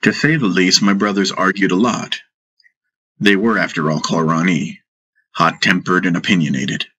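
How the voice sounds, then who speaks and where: A man narrating a fantasy audiobook in a measured, dramatic storytelling style. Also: sits low, steady tone, pushed and bright, husky, highs cut off.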